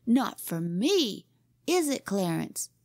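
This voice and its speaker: A woman's voice: high voice, very animated, slow, creaky, dry recording, clean studio sound, pitched above the performer's own voice, full character voice. A woman voicing a boastful, pompous small boy in a storybook, insistent and given to repeating himself. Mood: scornful boasting. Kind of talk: storybook character reading.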